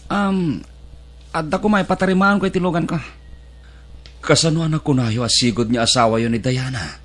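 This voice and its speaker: A man's voice: on the high side, normal speed, fairly bright tone, natural voice. A man acting an earnest young mechanic who plans to marry, in a dramatised story. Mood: shocked questioning. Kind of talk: radio-drama style acted dialogue.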